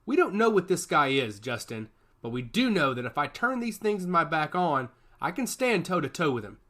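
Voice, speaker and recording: A man's voice: a little high, big pitch swings, normal speed, fairly bright tone. A man voicing a cocky, defiant male soldier in a science fiction story, speaking tersely. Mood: determined.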